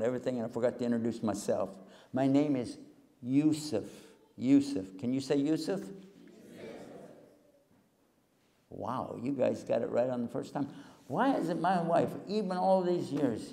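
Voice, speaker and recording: A man's voice: medium pitch, dark tone. An older man from Texas, preaching a humorous lecture to a live audience on a handheld mic in a large hall, folksy and joking. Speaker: Islamic preacher and TV host. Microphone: handheld mic, far camera. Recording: long hall reverb.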